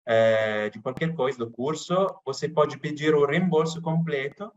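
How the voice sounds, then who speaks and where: A man from Italy speaking with an Italian accent, chatting casually in a podcast interview with many pauses and restarts. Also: fairly high pitch, muffled recording. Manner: hesitant, many restarts and fillers. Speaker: interviewee, channel host.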